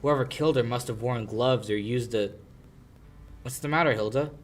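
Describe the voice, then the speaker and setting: A man's voice: medium pitch, moderate pace, lightly acted. Young man acting a stubborn lawyer, brash delivery, old-time radio drama style, youthful male voice. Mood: grave, measured. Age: young adult. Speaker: high school actor.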